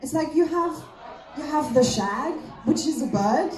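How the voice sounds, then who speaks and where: High-voiced woman doing stand-up, echoey room. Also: lively intonation, measured pace, darkish tone, faint music behind. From Austria. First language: German. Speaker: stand-up comedian. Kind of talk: live stand-up comedy routine. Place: comedy festival venue, live on stage. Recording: echoey live room recording.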